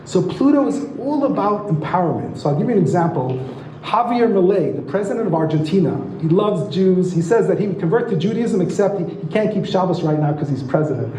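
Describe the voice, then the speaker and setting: A man's voice: sits high, lively intonation, a little fast, warm tone, slight background noise. A man giving an enthusiastic teaching lecture on astrology, often asking his listeners rhetorical questions. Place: lecture room. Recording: roomy echo.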